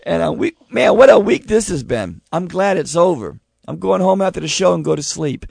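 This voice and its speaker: Gravelly excitable radio host, losing his voice. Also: on the high side, normal speed, no echo. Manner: loud, excitable, laughing. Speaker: radio talk show host. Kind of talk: live radio talk show. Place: radio studio with live audience.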